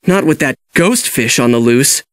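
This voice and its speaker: A man voicing an excitable, easily spooked little boy fish in a boyish manner for a storybook. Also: medium pitch, normal speed, dry recording, studio quality, lightly acted. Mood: dejected, spooked.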